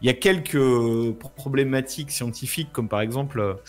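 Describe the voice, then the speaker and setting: A man's voice: medium pitch, a little fast. A man explaining things informally in casual chat, using slangy wording.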